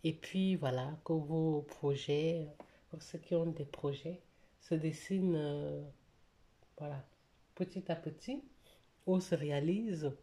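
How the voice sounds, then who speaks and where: A woman's voice: low-pitched, fairly even intonation, takes it slowly, mellow. A woman vlogging about her weekly budget, talking casually with many hesitations, slightly stuffy with a cold. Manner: hesitant, many fillers and restarts. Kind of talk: casual budget vlog to camera.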